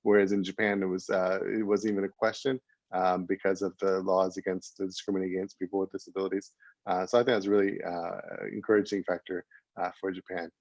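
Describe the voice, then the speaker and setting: Man from Canada, panel guest; low flat delivery, halting, dry lo-fi call audio. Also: no room sound. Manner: hesitant, many fillers and restarts. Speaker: panel speaker. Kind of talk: online panel talk. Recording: dull lo-fi video call audio.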